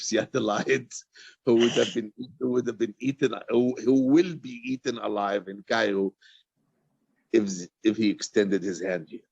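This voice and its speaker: A man from Egypt, a poet and author, talking informally and haltingly on a video call, often repeating his words. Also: mid-range voice, creaky, a little mellow, husky. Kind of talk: informal question-and-answer talk after a reading. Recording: roomy, dull video-call sound.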